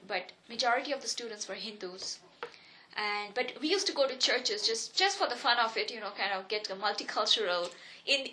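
A woman's voice: a little high, pushed and bright. A woman in her thirties from India, speaking, telling her life story earnestly and reflectively in an interview. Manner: earnest, reflective, with frequent restarts. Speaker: interviewee, economics professor. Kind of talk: personal oral history interview.

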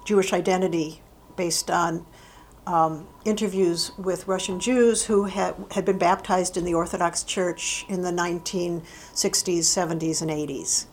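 A middle-aged woman who works as a university administrator, answering interview questions in a thoughtful, halting way with frequent restarts. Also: lowish voice, fairly even intonation, a little hiss or hum. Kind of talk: oral history interview.

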